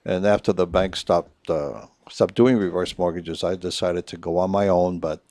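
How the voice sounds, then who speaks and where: A middle-aged man with a very low, deep voice talks through a studio mic. His affable, agreeable manner suits a casual radio interview, and the sound is close and dry. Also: fairly even intonation, warm tone, no echo. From Puerto Rico. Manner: affable, agreeable, quick to chime in. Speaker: reverse mortgage consultant guest.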